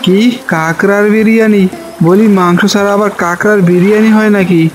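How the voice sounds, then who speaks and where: A man voicing a poor, doubtful fisherman husband in an animated folk tale, plaintive and questioning. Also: high-pitched, fairly quick, soft background music, close to an everyday voice.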